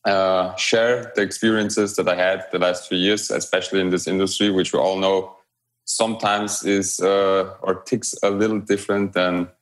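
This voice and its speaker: Man, young adult, very low voice, relaxed, hesitant, guest interviewee. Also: normal speed. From Romania. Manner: relaxed, hesitant with frequent fillers and restarts. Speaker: guest, blockchain company growth lead. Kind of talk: remote interview conversation.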